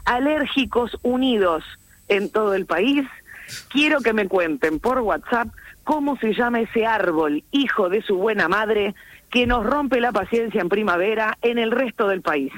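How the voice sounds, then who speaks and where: A woman's voice: on the high side, lively intonation, measured pace, no echo. A woman with an Argentine accent chatting playfully on the radio as a columnist, joking and complaining in jest. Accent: Argentine Spanish. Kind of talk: casual radio chat.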